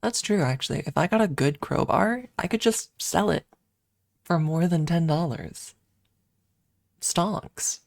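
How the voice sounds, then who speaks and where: A woman's voice: on the low side, unhurried, creaky, no echo. A woman streamer chatting casually and informally in an ASMR livestream, thinking aloud close to the microphone. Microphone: close microphone adjusted for ASMR.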